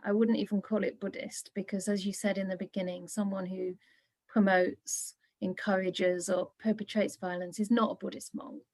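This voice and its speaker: Gravelly-voiced nun hosting a call. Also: female voice, mid-range voice, moderate pace, no echo. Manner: soft-spoken, relaxed, with gentle laughs. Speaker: Buddhist nun leading a discussion. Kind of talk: casual online Q&A. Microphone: video call microphone.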